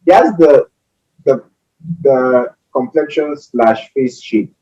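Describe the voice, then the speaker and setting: A man from Nigeria with a husky voice in a casual group chat, hesitantly searching for his words. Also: medium pitch, takes it slowly, warm tone, dull audio. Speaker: podcast guest.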